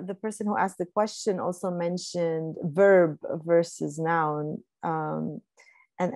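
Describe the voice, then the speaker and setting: A woman whose first language is Arabic, speaking as a panelist on a video call, reflective and pausing often with fillers. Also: fairly low pitch, a little mellow, no echo. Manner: reflective, pauses with many fillers.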